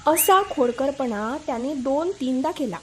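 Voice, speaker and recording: High-pitched woman telling children's stories. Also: lively intonation, unhurried, a little mellow, a little hiss or hum. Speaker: storyteller, channel host. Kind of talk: scripted children's story narration.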